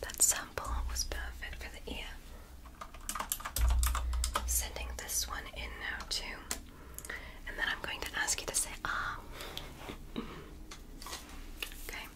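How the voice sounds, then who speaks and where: A woman whispering in ASMR roleplay as a curious, gentle alien doctor examining a human, speaking slowly and clinically with a breathy whisper up close to the mic. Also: natural voice. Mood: soothing curious. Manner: slow, soft, clinical and fascinated. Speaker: ASMR creator. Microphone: close sensitive microphone.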